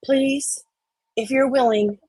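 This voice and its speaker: A woman's voice: high voice, steady tone, slow pace, dark tone, breathy edge, no echo. A woman hosting a livestream with casual chat, joking and swearing in an informal way. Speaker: livestream host.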